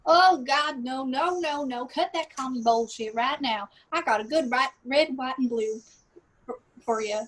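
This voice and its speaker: Casting: woman as loud Texan big shot, Southern accent, high voice, crude, chummy swagger, comedy play. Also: measured pace. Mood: boastful. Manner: boastful, folksy, boisterous. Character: brash Texan businessman, loud, crude, patriotic. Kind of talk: comedic live play reading.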